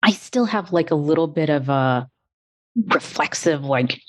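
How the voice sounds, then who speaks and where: A woman guest on a coaching podcast, reflecting on her work in measured, thoughtful phrases broken into short chunks. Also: sits low, animated. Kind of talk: reflective interview conversation.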